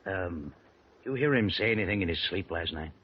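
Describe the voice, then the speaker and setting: An actor playing a brash, wisecracking newspaper editor with punchy, clipped delivery in a 1940s radio drama. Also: male voice, deep voice, lively intonation, moderate pace, slightly rough. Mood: pressing. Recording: dull old radio recording.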